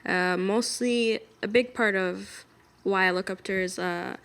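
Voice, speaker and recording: Relaxed young woman, reflective guest. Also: medium pitch, measured pace, gravelly, smooth. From United States. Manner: relaxed, reflective, many fillers. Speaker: guest singer. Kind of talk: casual interview talk.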